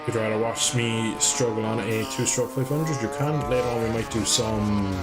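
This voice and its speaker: A low-voiced man talks through a live motorbike game stream in a rambling, chatty way, swearing under his breath at every crash. Loud music pounds behind him over the roar of the game. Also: steady tone, normal speed. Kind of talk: live gameplay commentary.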